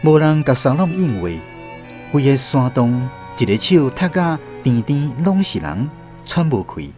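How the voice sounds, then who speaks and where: A man's voice: mid-range voice, big pitch swings, a little slow, a little mellow, faint music behind. A man with a Taiwanese accent narrating a literary radio drama.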